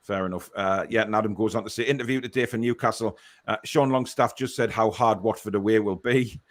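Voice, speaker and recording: A man's voice: lowish voice, fairly quick. Casual male football show host. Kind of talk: casual live stream chat, reading out viewer comments.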